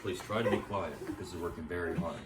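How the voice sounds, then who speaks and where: A deep-voiced tour guide for kids, played by a young man in an amateur skit. He is away from the mic in an echoey space with clear background noise. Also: fairly even intonation, fast talker, far from the mic, close to an everyday voice. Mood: instructing. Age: young adult. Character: male tour guide addressing children.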